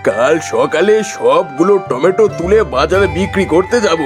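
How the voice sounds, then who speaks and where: Man acting a homely, higher-pitched cartoon farmer. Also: animated, normal speed, over music, natural voice. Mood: cheerful, eager. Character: cheerful village farmer, husband and fond father.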